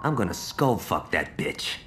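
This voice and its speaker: An actor playing a brash, hot-headed American gang lieutenant in a video game, sarcastic and aggressive, with plenty of swearing. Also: male voice, deep voice, sing-song, a little slow, over music. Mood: irritated, cocky. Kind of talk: video game cutscene acting.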